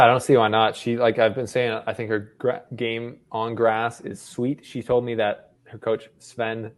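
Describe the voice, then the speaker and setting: Upbeat man, casual sports co-host. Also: fairly low pitch. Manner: slangy, upbeat.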